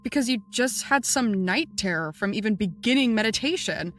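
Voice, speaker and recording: An actress plays a jaded woman friend with a mid-pitched voice. She is dry, sardonic and a little exasperated, delivered in a natural, understated drama style. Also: expressive, moderate pace, fairly bright tone, faint music behind, close to an everyday voice. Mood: concerned. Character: jaded, skeptical, slightly exasperated female friend. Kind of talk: naturalistic audio drama acting.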